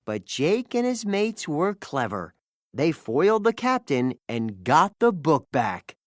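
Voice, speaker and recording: A narrator reading a children's storybook aloud in an animated, scripted style. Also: medium pitch, takes it slowly, no room sound, clean studio sound.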